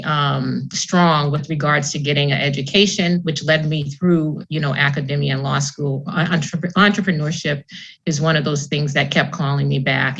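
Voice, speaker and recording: A woman's voice: deep voice, creaky, a bit bright, muffled recording. A woman from Boston, an entrepreneur on a panel, telling her story earnestly and reflectively over an online call. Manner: earnest, reflective, some restarts. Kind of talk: panel discussion, personal story.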